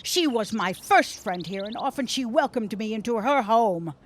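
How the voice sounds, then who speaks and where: Scornful woman shopkeeper, wildly swinging pitch acted by a woman. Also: animated, normal speed, a little hiss or hum, natural voice. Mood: bitter indignation. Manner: biting, sarcastic. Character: scornful, righteous married shopkeeper woman, bitterly outspoken. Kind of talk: period audio drama acting.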